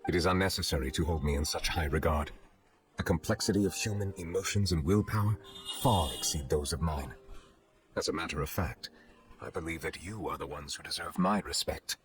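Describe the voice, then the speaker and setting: A man voicing a dignified, reserved chief justice in an anime game, speaking formally in a measured, humble manner. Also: rumbling low. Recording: background music under the voice.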